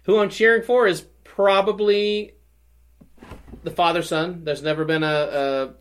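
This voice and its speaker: A man's voice: high voice, steady tone, a little slow, fairly bright tone, smooth. A man co-hosting a podcast, chatting casually in a teasing, joking way. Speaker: podcast co-host. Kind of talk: casual chat.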